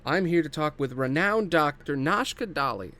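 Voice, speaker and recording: A man's voice: fairly high pitch, lively intonation, normal speed, pushed and bright. A man voicing a chirpy young woman crew member presenting a video log in a sci-fi table read.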